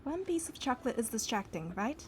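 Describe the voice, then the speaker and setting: High-voiced young romance heroine played by a woman. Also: very animated, fairly quick, a little hiss or hum. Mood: lightly playful. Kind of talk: scripted game narration and dialogue. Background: music.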